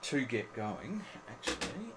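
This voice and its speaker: A man's voice: medium pitch, very animated, slow pace, heavy background noise. A man hosting an informal live technical show-and-tell for hobbyists, often repeating his words and phrases. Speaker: host of an amateur radio club presentation.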